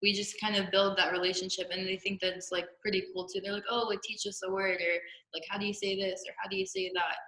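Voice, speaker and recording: Bright-voiced young woman, echoey podcast guest. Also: medium pitch, level tone, fast, hardly any pauses. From Jordan. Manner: upbeat, informal, frequent "like". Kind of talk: casual interview chat. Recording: roomy, echoey podcast room.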